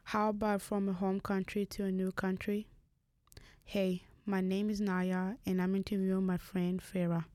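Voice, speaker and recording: A woman's voice: medium pitch, level tone, moderate pace, mellow. A teenage girl interviewing a fellow student for a school podcast, asking short, plain questions. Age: teens.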